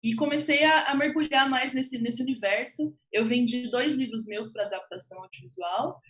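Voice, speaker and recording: A woman with a Brazilian accent and a fairly high voice talks as a guest writer on a panel. She recounts her career conversationally, with an animated, informal manner that slips into quoted remarks. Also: moderate pace. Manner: animated, informal, self-quoting storytelling. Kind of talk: conversational personal account on a panel.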